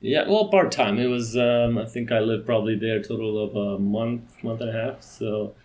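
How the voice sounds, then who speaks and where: Quick-talking man from Romania. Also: on the low side, darkish tone. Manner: quick, with restarts and laughs. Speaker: podcast guest, company CEO. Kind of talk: casual interview chat.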